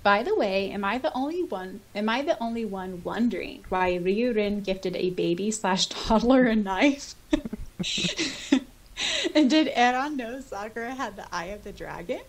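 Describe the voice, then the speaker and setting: Animated woman chatting on a podcast. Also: mid-range voice, a little slow. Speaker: podcast co-host. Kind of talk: casual podcast chat mixed with reading listener comments aloud.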